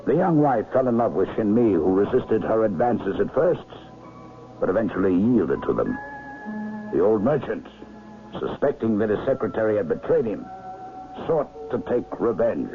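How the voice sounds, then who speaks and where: Deep-voiced, expressive gentleman sleuth. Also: normal speed, soft background music. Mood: knowing, storytelling. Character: perceptive older gentleman amateur sleuth, unhurried and knowing. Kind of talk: radio drama acting. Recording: lo-fi noisy vintage radio broadcast.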